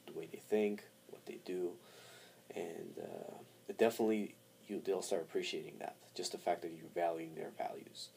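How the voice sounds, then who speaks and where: A man talking casually to camera as a vlogger, halting as he thinks aloud. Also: deep voice, creaky, darkish tone, a little hiss or hum. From born in Montreal, Canada, raised in Armenia from four to seventeen. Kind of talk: casual personal talk to camera.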